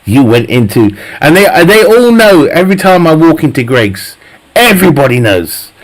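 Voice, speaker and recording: A man's voice: fairly high pitch, sing-song, a little slow, rough recording. A man chatting casually with his live stream viewers, swearing a lot and laughing often at his own jokes. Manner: profane, jokey banter, laughs often. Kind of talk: casual chat with viewers while gaming.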